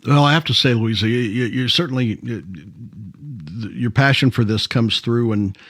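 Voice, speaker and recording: Halting radio host, dry studio sound. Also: male voice, medium pitch, fairly bright tone, no room sound. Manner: halting, many fillers and restarts. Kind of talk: radio interview.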